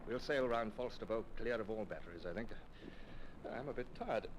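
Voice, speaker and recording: A man playing a gruffly kind naval commander in an old radio play. The voice is low, formal and halting, with understated weariness. The recording carries a light layer of old noise. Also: animated, natural voice. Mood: weary, concerned. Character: tired, proud, gruffly kind male British naval commander. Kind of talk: old-time radio drama acting.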